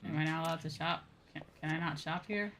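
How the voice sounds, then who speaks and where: A woman's voice: low-pitched, fairly even intonation, fast, a bit bright, a little hiss or hum. A woman doing casual gameplay commentary, musing aloud to herself and often apologetic. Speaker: streamer playing a video game. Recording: faint game music behind. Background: video game music.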